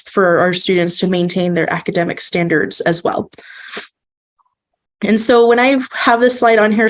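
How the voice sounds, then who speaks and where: A congested woman working as an accommodations specialist gives an informal webinar presentation in a relaxed, friendly way, with frequent ums and restarts. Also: medium pitch.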